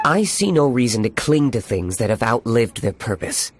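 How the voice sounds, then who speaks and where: A man's voice: medium pitch, sing-song, moderate pace, slight background noise, close to an everyday voice. A man voicing a curt, aloof tavern owner in a video game, dry and unsentimental. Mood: cold, dismissive.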